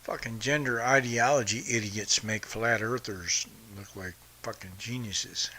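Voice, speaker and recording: A man's voice: medium pitch, steady tone, measured pace, pushed and bright. A man hosting a podcast, from the United States, ranting bluntly and profanely about politics. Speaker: podcast host. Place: home in Brazil.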